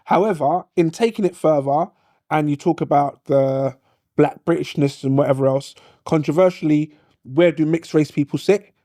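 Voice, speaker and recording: Man, podcast panelist, slightly high mellow voice, dry close mic, animated delivery. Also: normal speed, dry recording. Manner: animated, acts out quoted voices, repeats "do you know what I mean". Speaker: podcast host. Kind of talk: casual panel discussion.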